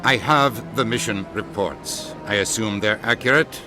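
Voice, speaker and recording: A man's voice: on the low side, a little slow, lightly acted. Man voicing a stern ambassador, scolding, game music behind. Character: stern male ambassador, lecturing. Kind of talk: video game voice acting. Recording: game audio over music.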